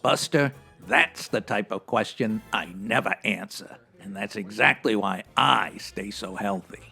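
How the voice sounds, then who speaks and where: A man playing a slangy, wordy strip club manager with a raspy, theatrical delivery, in a comedic old-time radio detective style. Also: fairly low pitch, measured pace, sharp tone, faint music behind, exaggerated voice. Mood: guarded. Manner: hipster slang with florid big words, theatrical. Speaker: actor in a comedy audio drama.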